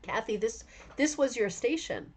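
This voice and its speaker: A woman's voice: fairly high pitch, expressive, unhurried. A woman hosting a rug hooking club stream, tired and losing her voice, talking enthusiastically and rambling while chatting with viewers by name. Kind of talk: live stream show-and-tell chat.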